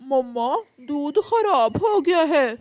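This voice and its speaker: A woman's voice: high voice, animated, slow pace, darkish tone, dry recording. A woman voicing an innocent little crow boy in a children's cartoon, childlike and polite. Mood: pleading. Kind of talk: cartoon voice acting.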